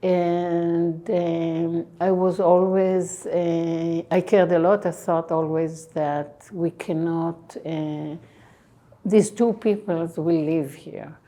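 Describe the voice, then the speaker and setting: Slow, mellow Israeli woman in her sixties. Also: on the low side, steady tone. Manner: slow, halting, many pauses and fillers. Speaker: guest, tech entrepreneur. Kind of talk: interview answers.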